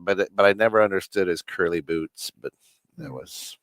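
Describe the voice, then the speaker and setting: Middle-aged male co-host, low smooth voice, halting reminiscing. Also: fairly even intonation, fairly bright tone, no room sound, studio quality. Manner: halting, many ums and uhs. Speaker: podcast co-host. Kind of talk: casual wrestling chat.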